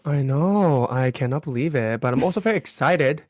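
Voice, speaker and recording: A man from China co-hosting a casual podcast, animated and giggly, full of exclamations. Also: mid-range voice, normal speed, no echo, clean studio sound. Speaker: podcast co-host.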